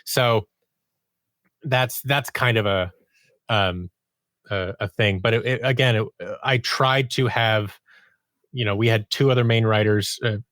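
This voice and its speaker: A man speaking as a guest writer and producer in a casual interview, haltingly thinking aloud and restarting his phrases. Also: on the low side, fairly bright tone, no echo. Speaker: podcast guest, audio drama writer and producer.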